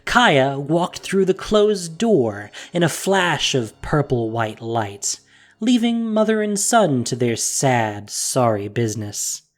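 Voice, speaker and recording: A man reading scripted fantasy story narration with expressive delivery. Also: mid-range voice, slow.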